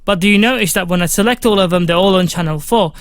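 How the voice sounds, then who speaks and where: A young man with a fairly high voice, giving a casual, instructive music-production tutorial from a small home studio, with many fillers. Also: quick pace, a bit bright, no room sound. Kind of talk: screen-recorded software tutorial.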